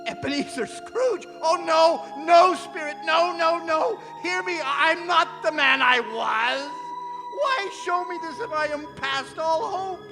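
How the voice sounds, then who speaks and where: An old miser in a stage radio drama, repentant and wildly emotional. The voice is very high and swings widely, in slow, halting, theatrical phrases. It rings through a reverberant hall with music underneath. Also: male voice, exaggerated voice. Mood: desperate pleading. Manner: theatrical, halting, pleading delivery. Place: performing arts center stage.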